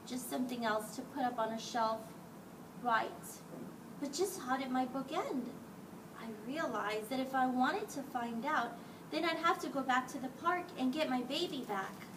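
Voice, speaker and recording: A woman reading a picture book aloud to children as a teacher, expressively and acting out the dialogue. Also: high voice. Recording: distant mic, clearly noisy background.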